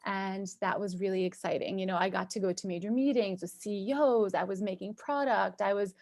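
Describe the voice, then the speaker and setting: A woman's voice: medium pitch, a little fast, darkish tone, no echo. Middle-aged woman guest, Turkish-speaking New Yorker, chatty and upbeat, close dry mic. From New York, USA. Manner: chatty, upbeat, many ums and likes. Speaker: guest, cosmetic chemist and entrepreneur. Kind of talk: casual interview storytelling.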